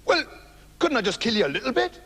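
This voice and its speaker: A man's voice: very high-pitched, sing-song, fast, sharp tone, in a large hall, slight background noise, pitched above the performer's own voice, full character voice. A man voicing a boastful, rude male bully in a storybook reading, swaggering and cheerfully menacing. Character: boastful brutish rude male brute who loves bullying.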